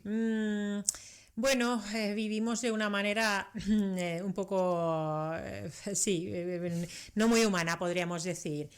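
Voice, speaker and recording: A woman's voice: medium pitch, steady tone, takes it slowly, clean tone. A middle-aged woman from Finland, a native Finnish speaker, explains things conversationally as an expert interview guest, adding frequent tag questions. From Karelia, southeastern Finland. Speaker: interview guest, doctor and science communicator.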